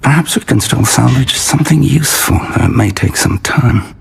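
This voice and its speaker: An actor playing a kindly, scholarly older wizard professor, in scripted fantasy game dialogue. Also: male voice, mid-range voice, moderate pace, gravelly, husky, heavily acted. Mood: pensive, concerned.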